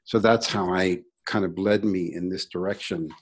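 A middle-aged or older American man from Brooklyn telling his own story in a conversational, reflective interview style with hesitant pauses. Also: low voice, fairly even intonation. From Brooklyn, New York, United States. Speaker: interview guest, sustainability entrepreneur.

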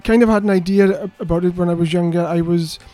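Mellow man telling stories. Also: high-pitched, fast, dry recording, faint music behind. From South Wales. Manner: relaxed, anecdotal storytelling. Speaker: guest author. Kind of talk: casual interview. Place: podcast studio.

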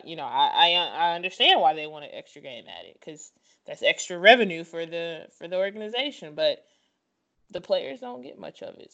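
A woman's voice: on the low side, creaky, fairly bright tone, dull audio. Opinionated woman co-hosting a sports podcast. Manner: opinionated, emphatic repetition. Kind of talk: casual sports chat.

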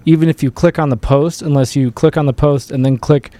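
A man's voice: a little high, steady tone, fairly quick, mellow. A man chatting casually as co-host of a live political talk show.

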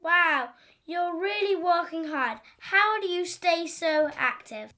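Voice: extremely high pitch, slow pace, sharp tone, exaggerated voice. A performer playing a small, curious, easily amazed red blood cell in a children's educational audio story, with an eager, questioning manner. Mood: eager, grateful. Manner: wide-eyed, eager, questioning.

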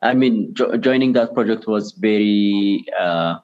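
Low-voiced Ethiopian man, muffled line. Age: 30s. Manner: halting, with frequent pauses. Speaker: interview guest, charity director. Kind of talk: personal interview answers. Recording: dull lo-fi remote call.

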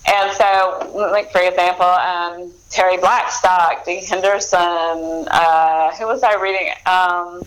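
A woman's voice: lowish voice, fairly even intonation, slow, hardly any pauses, low-quality recording. A woman in her forties, an author guest, talking in a rambling, easygoing way with many restarts. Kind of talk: casual interview chat.

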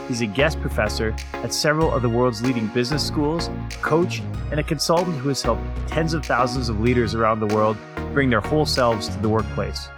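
A man hosting a workplace podcast, reading a polished intro and then asking interview questions in an upbeat way. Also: medium pitch. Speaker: podcast host.

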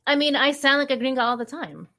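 Lively, slightly high-voiced woman co-host. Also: fast, vocal fry, a little hiss or hum. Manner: animated, laughs easily, lots of fillers. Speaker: podcast co-host. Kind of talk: casual podcast banter. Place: back room of a game store.

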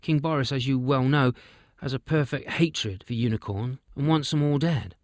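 A man's voice: medium pitch, normal speed, no room sound, studio quality, close to an everyday voice. A man voicing a humble, out-of-breath messenger bringing urgent news in a story.

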